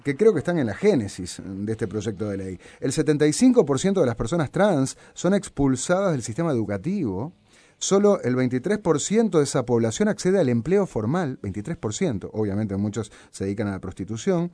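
A man's voice: mid-range voice, very animated, a little fast, dark tone, no echo. A man with a Uruguayan accent hosting a radio talk show, explaining at length with many fillers and tag questions. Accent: Uruguayan Spanish.

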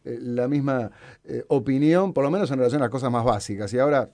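Uruguayan radio host, man, mid-range voice, patient explainer with steady "eh" fillers. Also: mid-range voice, quick pace, no echo. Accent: Uruguayan Spanish. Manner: explanatory, many "eh" fillers and "¿no?" tags. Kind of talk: radio talk and interview.

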